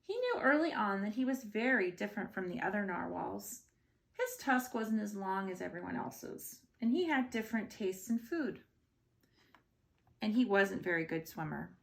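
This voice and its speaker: Expressive woman reading a bedtime story, lively pitch. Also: normal speed, gravelly. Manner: expressive storytelling with short asides. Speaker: storybook reader. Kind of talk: children's bedtime story read-aloud.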